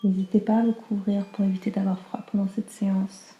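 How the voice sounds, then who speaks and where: A woman leads a guided meditation in a very mellow, hushed and breathy voice. Her delivery is calm and slow, with little melodic movement. The sound is roomy and echoing, and she soothes as she instructs. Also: medium pitch. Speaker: yoga nidra guide.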